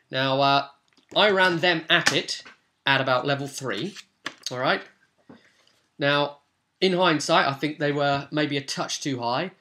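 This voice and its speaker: Bright-voiced man, chatty gaming vlogger. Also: on the high side, slight background noise. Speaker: tabletop gaming vlogger, game master. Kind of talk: informal walkthrough commentary.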